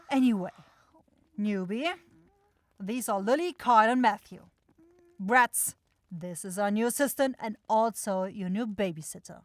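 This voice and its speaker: A woman's voice: medium pitch, measured pace, long pauses, dry recording, lightly acted. A bossy demon woman runs her shop with grumbling sarcasm, acted by a woman. She is brusque and exasperated, in the manner of a fantasy audio drama. The recording is close and free of room sound. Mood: grumpy, scolding. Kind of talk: fantasy audio roleplay acting.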